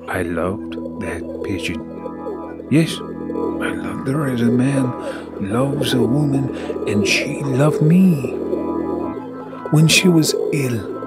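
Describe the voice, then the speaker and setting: Man playing a slow, wistful old inventor. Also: mid-range voice, lightly acted. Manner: slow, reflective, reverent. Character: Nikola Tesla, aging lonely inventor, tender and wistful. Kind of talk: radio drama monologue. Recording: music loud behind the voice.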